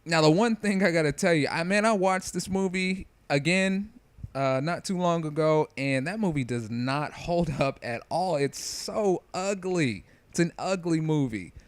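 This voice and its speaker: A man's voice: fairly high pitch, lively intonation, measured pace, no room sound. A man giving casual, opinionated review commentary in an informal, slangy, conversational way. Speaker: video creator giving commentary.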